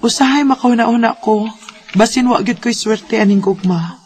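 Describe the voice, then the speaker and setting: A woman acting a gentle, heartbroken young woman in a Bisaya radio drama, with a Filipino Bisaya accent. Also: on the high side, normal speed, husky. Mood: wistful, heartbroken. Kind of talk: radio drama acting and first-person narration. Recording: radio drama with music underneath.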